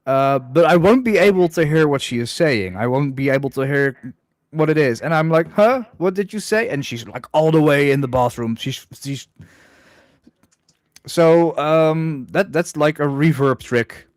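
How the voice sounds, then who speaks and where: A man from the Netherlands, hosting a casual livestream chat in a droning, halting way. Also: on the high side, dry recording. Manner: hesitant, many restarts, self-described droning monotone. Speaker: livestream host.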